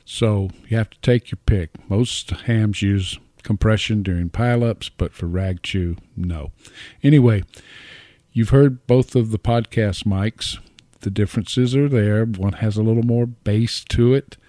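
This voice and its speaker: A middle-aged or older man giving an informal, plainspoken microphone demo in short, deliberate phrases, speaking close to a dynamic microphone. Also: fairly low pitch, dark tone, dry recording. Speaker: amateur radio hobbyist reviewing microphones. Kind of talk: informal explanatory product demo narration. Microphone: close dynamic podcast or studio microphone.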